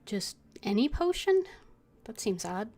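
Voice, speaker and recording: A woman's voice: fairly high pitch, very animated, unhurried, warm tone. A woman game streamer voicing her own character's lines in a fantasy role-playing game.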